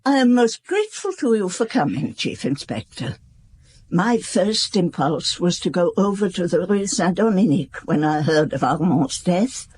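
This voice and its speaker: An actress playing an elderly aristocratic widow, gracious, formal and wistful, speaking frankly in a radio drama. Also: female voice, lowish voice, animated, darkish tone, breathy edge. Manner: formal, composed, unhurried, frank.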